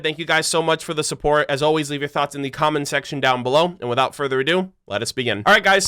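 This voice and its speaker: Bright, animated man giving reaction commentary. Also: a little high, smooth. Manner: slangy, exclamatory. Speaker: reaction video host.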